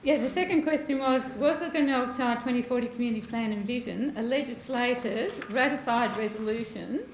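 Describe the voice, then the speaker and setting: Loud, pointed woman in an echoey chamber. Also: on the high side, a little fast, a little hiss or hum. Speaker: community member asking questions. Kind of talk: formal public question at a meeting. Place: council chamber. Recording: echoey muffled council chamber.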